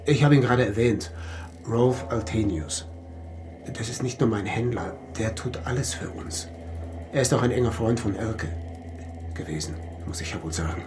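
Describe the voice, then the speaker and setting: A man playing an earnest, defensive artist under questioning in a radio crime drama, halting and emphatic. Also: lowish voice, lightly acted. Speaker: actor.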